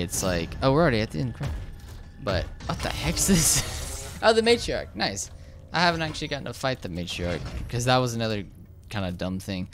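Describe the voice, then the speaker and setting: A young man, slightly hoarse, casually commenting over his gameplay, rambling with lots of fillers and restarts. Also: lowish voice, a little hiss or hum. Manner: rambling, hesitant, frequent filler words and restarts. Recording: game gunfire loud behind voice. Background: shooter game sounds and gunfire.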